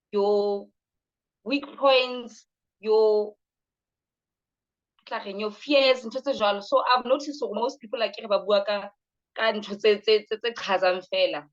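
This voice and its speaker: A woman in her late thirties with a South African accent, answering interview questions directly and mixing in Sesotho phrases. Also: fairly high pitch. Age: late 30s. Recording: slightly dull sound.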